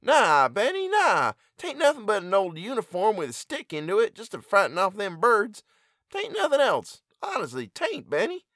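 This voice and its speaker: A man voicing a worried rural farm mother who speaks in rough country dialect. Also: high voice, huge pitch swings, moderate pace, fairly bright tone, dry recording, studio quality, pitched above the performer's own voice, heavily acted. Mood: anxious pleading. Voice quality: rustic dialect, pleading.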